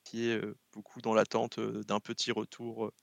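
A young man from French Guiana, a guest in an interview, speaking in a relaxed way with constant hesitations. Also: on the low side, moderate pace, creaky, dry recording. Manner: relaxed, very hesitant with constant fillers, laughs often.

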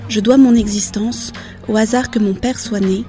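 A woman reading a literary passage aloud, slow and deliberate. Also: on the high side, fairly even intonation, over music. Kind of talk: literary reading over music.

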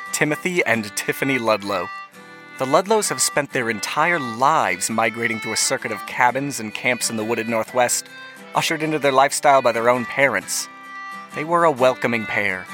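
An actor playing an earnest younger brother narrating a letter in a measured, reflective, articulate way for an audio drama. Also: male voice, mid-range voice, close to an everyday voice.

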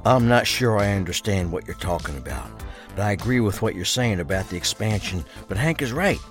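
Low-voiced, loyal gangster right-hand man , acted. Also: expressive, a little fast, soft background music, natural voice. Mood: measured, cautious. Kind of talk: crime thriller audio drama dialogue.

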